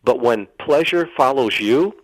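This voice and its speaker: A man's voice: mid-range voice, lively intonation, a little slow, dry recording, poor audio. A middle-aged American man telling dramatic anecdotes as an interview guest, quoting dialogue as he goes. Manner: dramatic storyteller, quotes dialogue.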